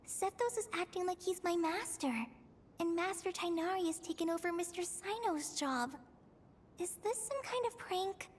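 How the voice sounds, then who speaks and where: A woman's voice: very high-pitched, normal speed, long reverb tail, slight background noise. A woman voicing a confused, earnest young female forest ranger trainee in a video game, hesitant and anxious. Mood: puzzled.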